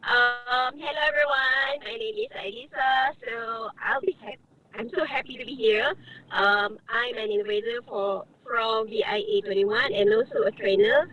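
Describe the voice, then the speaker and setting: A woman's voice: on the high side, moderate pace, a bit bright, soft background music. Emotional woman, distant muffled mic. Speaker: group coach and trainer.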